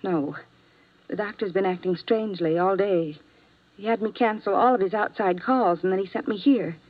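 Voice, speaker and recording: An actress playing an earnest, worried young nurse in a 1950s radio drama, speaking politely and properly with an anxious edge. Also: female voice, medium pitch, moderate pace, no room sound, close to an everyday voice. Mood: anxious, urgent. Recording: dull, dry 1950s radio recording.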